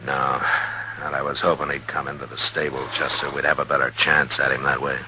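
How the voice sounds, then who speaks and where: Stern terse frontier marshal, super deep forceful voice, old hissy radio western. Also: male voice, level tone, a little fast, heavily acted, poor audio. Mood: grave, determined. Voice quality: gravelly. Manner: terse, authoritative. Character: stern, resolute Old West lawman. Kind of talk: 1950s radio western acting. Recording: hissy, humming 1950s radio recording.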